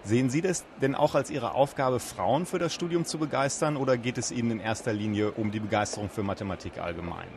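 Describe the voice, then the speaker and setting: A man's voice: mid-range voice, quick pace, hardly any pauses. A man working as an interviewer, introducing guests and putting questions to them in a prepared, formal way. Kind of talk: broadcast-style interview questions. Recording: noisy event ambience with traffic.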